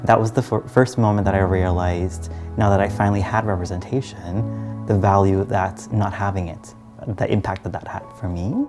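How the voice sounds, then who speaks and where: A man's voice: low voice, steady tone, normal speed, over music. A young man from Trinidad and Guyana telling his personal story in a reflective, earnest way, as in a documentary interview. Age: young adult.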